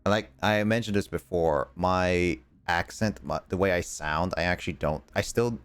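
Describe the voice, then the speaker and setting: A young American man streaming games, talking casually in halting, rambling bursts full of fillers and restarts. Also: low-pitched, clear, resonant tone, no room sound. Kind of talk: casual chat while gaming.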